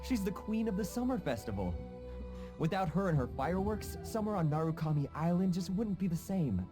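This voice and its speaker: Earnest, timid townsman, lively pitch. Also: a little fast, over music. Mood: grateful, admiring. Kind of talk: video game dialogue acting.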